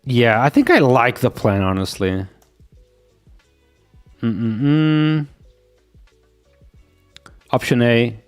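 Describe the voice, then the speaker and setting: A man's voice: mid-range voice, sing-song, very slow, pauses often, clear, resonant tone, soft background music. Portuguese-accented man thinking aloud. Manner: mutters to himself, repeats "yep" as he reads. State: sick. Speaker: live-coding streamer. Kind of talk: thinking aloud while coding, chatting with viewers.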